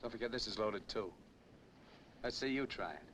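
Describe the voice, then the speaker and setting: An actor playing a menacing, taunting killer who holds hostages at gunpoint in an old film thriller, giving commanding orders. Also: male voice, lowish voice, moderate pace, background noise, natural voice. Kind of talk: 1950s film thriller acting. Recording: old film soundtrack with music.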